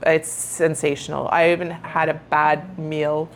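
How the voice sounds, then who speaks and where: Middle-aged female co-host from Canada, upbeat, easy laugh, low-ish voice. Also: flat delivery, unhurried. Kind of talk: casual conversational travel chat.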